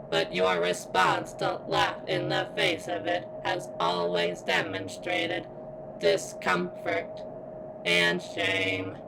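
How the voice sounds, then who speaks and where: A woman voicing a damaged female surveillance robot in an ASMR roleplay, speaking in formal, clinical, halting phrases with a strained, pained delivery. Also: rumbling low, vocal fry, noisy background, full character voice. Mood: curious, guarded. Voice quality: strained, as if in pain. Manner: formal, clinical, stilted wording with halting pauses. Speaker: voice actor in audio roleplay. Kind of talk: ASMR roleplay, scripted character monologue.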